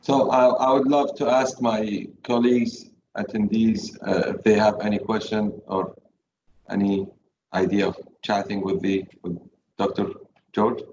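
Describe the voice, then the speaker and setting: A man's voice: lowish voice, steady tone, a little slow, a little mellow. A man politely moderating a webinar's question session over a video call. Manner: polite, inviting. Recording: dull lo-fi call audio with faint noise.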